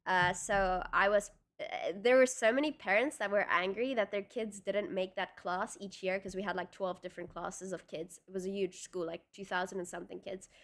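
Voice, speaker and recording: A woman's voice: mid-range voice, fairly even intonation, moderate pace, a bit bright. A young woman from South Africa, a native Afrikaans speaker with a South African accent, chatting casually on a livestream, rambling with lots of fillers and restarts. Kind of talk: casual livestream chat reading viewer comments.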